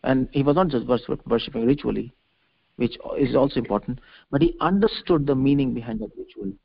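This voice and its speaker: Male preacher, mid-pitched and creaky, expounding scripture with emphatic repetition and halting phrasing; muffled conference-line sound. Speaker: preacher giving a scripture lecture. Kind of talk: religious discourse, explaining a verse. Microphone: conference call. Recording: dull conference-call audio.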